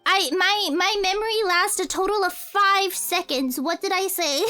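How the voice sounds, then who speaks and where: A young woman with a very high voice chats on a livestream, rambling and giggling as she answers viewer questions. She often corrects herself mid-sentence. Soft music plays faintly behind her. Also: a little slow. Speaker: streamer and cover singer. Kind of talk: casual livestream Q&A chat.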